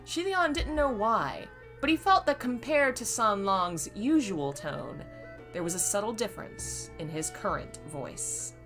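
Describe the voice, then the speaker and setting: Bright-voiced hoarse woman reading aloud. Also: medium pitch, lively intonation, unhurried, faint music behind. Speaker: reader and channel host. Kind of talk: live reading of a novel.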